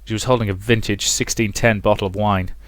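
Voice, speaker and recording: A man's voice: lowish voice, steady tone, a little fast, no room sound. Dry-sounding man narrating a visual novel. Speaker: let's player. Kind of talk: dramatic narration and casual commentary on a visual novel. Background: game music.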